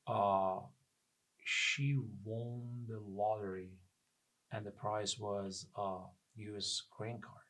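Iranian-accented man, halting speech. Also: lowish voice, fairly even intonation, a bit bright, poor audio. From Iran. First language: Persian. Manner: halting, searching for words. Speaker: plumber and guest. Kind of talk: casual interview talk.

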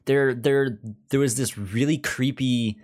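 A man's voice: medium pitch, slow pace. A man hosting a podcast in casual, jokey chat, often stammering and repeating words as he talks. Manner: animated, jokey, chatty. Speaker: podcast host.

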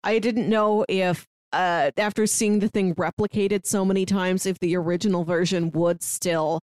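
A woman co-hosting a podcast, talking casually about films in a measured, reflective way. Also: fairly low pitch, fairly even intonation, darkish tone, no room sound. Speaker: podcast co-host discussing films. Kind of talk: casual conversational film discussion.

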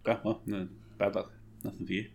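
A man's voice: on the low side, flat delivery, fairly quick, gravelly, darkish tone. A man on a quiz panel in casual banter, sweary and argumentative in jest.

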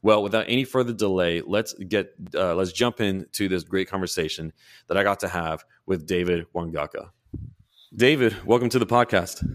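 A man's voice: deep voice, normal speed, no echo, studio quality. A man hosting a podcast interview in a conversational way, often restarting his phrases as he talks. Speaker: podcast host.

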